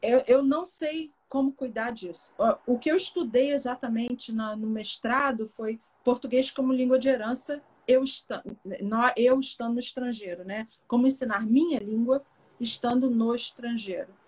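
A woman's voice: fairly high pitch, moderate pace. A woman from Brazil, a teacher and interview guest, explaining her views thoughtfully in a casual live video chat. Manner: thoughtful, explanatory, warm farewells. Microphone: webcam, video call.

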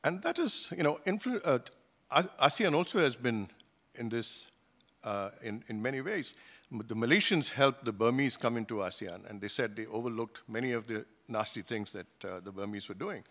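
An older man gives a panel talk at a conference table, speaking into a gooseneck microphone. His delivery is measured and thoughtful, often pausing on fillers and restarting his phrases. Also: medium pitch, expressive, a bit bright. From Singapore. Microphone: table gooseneck mic.

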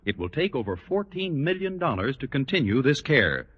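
A man's voice: mid-range voice, big pitch swings, moderate pace, breathy edge. An American man giving an emphatic, polished 1940s radio advertising read as announcer. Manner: emphatic, polished salesman delivery. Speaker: commercial announcer. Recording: dull, reverberant vintage radio broadcast.

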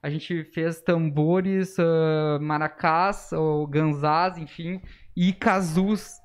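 A young man from a podcast guest chair talks in a southern Brazilian accent. His delivery is colloquial and animated, like someone recounting old memories among friends. He speaks through a face mask into a close studio mic. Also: on the high side, extremely slow, clear, resonant tone. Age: young adult. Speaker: podcast guest, musician and music teacher. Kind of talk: casual interview storytelling. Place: small dark podcast studio. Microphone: close studio microphone on arm, speaking through face mask.

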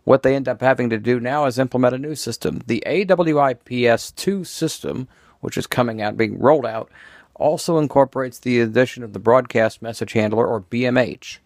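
A man's voice: medium pitch, a little fast, dry recording. Man explaining informally, dry close sound. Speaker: video creator. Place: small room at home.

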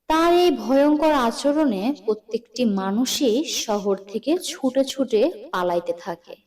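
Slow-paced woman narrating a cartoon story. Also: on the high side, animated, darkish tone.

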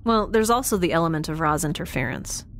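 A woman's voice: low voice, expressive, quick pace, a little hiss or hum. A woman playing a sarcastic, defiant young spaceship dweller in an audio drama, with a flippant, casual delivery. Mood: breezy.

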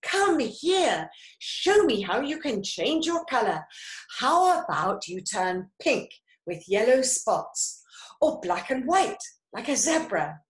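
A woman's voice: a little high, very animated, slow pace, voice pushed up. A woman voicing a curious, demanding sloth from a children's story in an eager, exclamatory way. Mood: excited. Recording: roomy, echoing sound.

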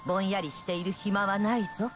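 A woman's voice: medium pitch, steady tone, brisk, soft background music, close to an everyday voice. An actress playing a mysterious prophet in female form, with stately archaic, elder-like diction in a game. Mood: solemn, oracular. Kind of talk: dramatic game cutscene acting.

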